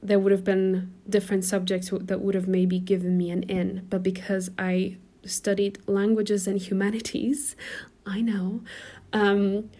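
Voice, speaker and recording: A woman in her twenties from Germany who grew up in Portugal, speaking with an American-sounding accent. She hosts a solo podcast in a soft, chatty way with many ums, recorded on a phone in a quiet room at home. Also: mid-range voice, monotone, unhurried. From Germany, raised in Portugal. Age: 20s. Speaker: podcast host and coach. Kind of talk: casual solo podcast talk.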